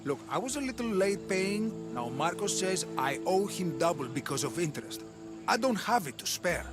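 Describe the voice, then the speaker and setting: A male merchant in a video game, nervous yet defiant. His voice is high and swings widely in pitch. Loud music sits behind the dialogue. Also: very animated, moderate pace. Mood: defensive.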